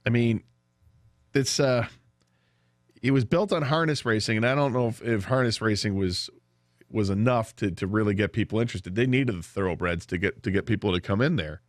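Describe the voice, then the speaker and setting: A man's voice: on the low side, moderate pace, dry recording. A man with an American accent co-hosting a sports radio show in casual discussion on a studio microphone. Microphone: studio broadcast microphone.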